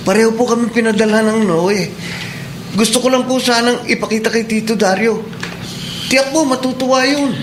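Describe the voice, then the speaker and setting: A man's voice: very high voice, moderate pace, background noise. A man playing a polite, hopeful young man in a Filipino radio drama, earnest and deferential. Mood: eager. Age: young adult.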